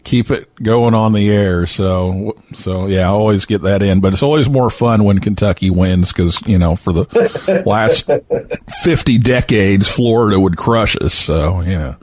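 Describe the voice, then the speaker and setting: A man's voice: on the low side, a little slow, darkish tone. A man hosting a show in a casual, joking, chatty style. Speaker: podcast host. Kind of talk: casual chat.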